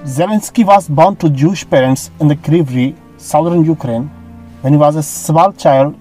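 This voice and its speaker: A man narrating a scripted biography in a steady, even reading. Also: a little high, rough recording.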